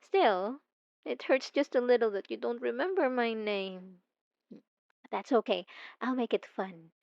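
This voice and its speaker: Woman voicing a possessive young stalker for ASMR. Soft, intimate, slow and teasing. Dry, slightly muffled sound. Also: on the high side, gravelly, no echo. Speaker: narrator speaking to the listener. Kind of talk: ASMR roleplay.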